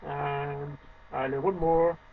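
A man's voice: a little high, little pitch movement, slow pace, breathy edge. A man in his thirties reciting poetry to camera at home in an emphatic, declamatory way, in short phrases. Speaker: amateur poetry reader and video host. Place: small home room lined with shelves, indoors. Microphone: webcam microphone a short distance away. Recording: dull lo-fi webcam sound.

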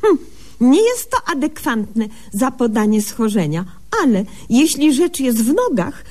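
A woman's voice: on the high side, sing-song, unhurried, a little mellow. An actress playing a pompous young woman doctor in a humorous radio sketch, with mock-literary, garbled diction. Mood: mock-solemn. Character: pompous, brisk young lady doctor narrating her diary.

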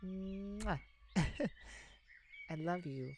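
A woman's voice: lowish voice, extremely slow. A woman playing a silly, affectionate, giggly and bashful young boyfriend in an ASMR romance roleplay. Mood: tender. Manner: giggly, bashful, hesitant, gushing. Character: silly, playful, affectionate young boyfriend surprising his partner. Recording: clearly noisy, ambient sounds behind.

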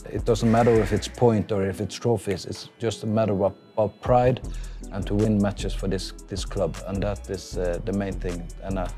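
A man in his forties from Norway with a Norwegian accent, a football coach speaking calmly in an interview, with frequent fillers and restarts. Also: fairly low pitch, moderate pace, music in the background. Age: 40s. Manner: calm, thoughtful, many fillers and restarts. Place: outdoor stadium stands.

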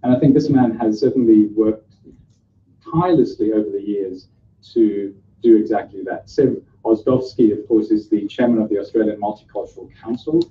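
A man's voice: fairly low pitch, normal speed. A man hosting an event, introducing speakers at a podium with easygoing, light humour. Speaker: master of ceremonies. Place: conference room, indoors. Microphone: podium mic, far camera. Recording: echoey room, dull sound.

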